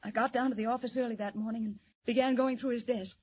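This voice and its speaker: A woman's voice: a little high, fairly even intonation, fast talker, slight background noise, natural voice. A woman playing a frightened but defiant young secretary in an old 1940s radio drama, speaking with emphatic, breathless urgency. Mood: anxious, urgent. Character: frightened, defiant young secretary in hospital, telling her story. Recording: dull muffled 1940s radio recording.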